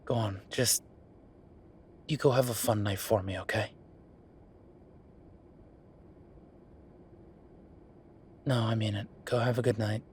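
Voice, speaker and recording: Man playing a low-voiced, weary werewolf boyfriend. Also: fairly even intonation, darkish tone, slight background noise, natural voice. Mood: reassuring, resigned. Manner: low-key, sardonic, halting, sighing. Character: weary, wry younger werewolf boyfriend working late. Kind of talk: intimate roleplay audio drama.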